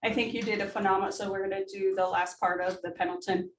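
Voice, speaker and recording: A woman's voice: mid-range voice, fairly even intonation, fast, a little hiss or hum. A woman nursing instructor with a big voice, debriefing a student in an emphatic, coaching way with rhetorical questions. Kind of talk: simulation debrief. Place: simulation room. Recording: echoey room, heard from a distance.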